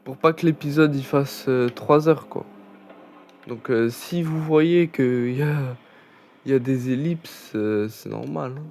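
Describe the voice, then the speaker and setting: A young man drawls slowly through casual gaming chatter, restarting his sentences and filling gaps with hesitations. His voice sits at a middling pitch, with a faint hiss of background noise behind it. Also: vocal fry, a little mellow. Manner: rambling, chatty with many fillers and restarts. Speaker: gaming channel host. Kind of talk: casual gameplay commentary.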